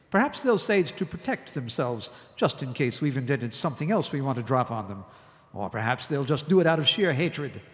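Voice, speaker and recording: In a reverberant hall, an actor plays a grave army colonel in an audio drama. He argues forcefully and deliberately, with a mid-pitched male voice. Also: natural voice. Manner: deliberate, argumentative military speech. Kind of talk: live audio drama acting. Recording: live stage recording in echoey hall.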